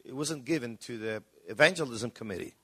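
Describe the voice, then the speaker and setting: A man's voice: medium pitch, animated, a little fast, bright, forceful tone, slightly rough, no echo. A man, a pastor answering on a panel in an emphatic, preacherly way, repeating phrases for weight.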